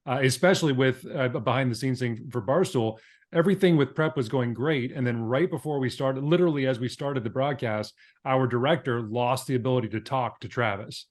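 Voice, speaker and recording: A man's voice: medium pitch, fairly quick. A man hosting a podcast interview in a conversational style, building up to questions with long, wordy lead-ins. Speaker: podcast host.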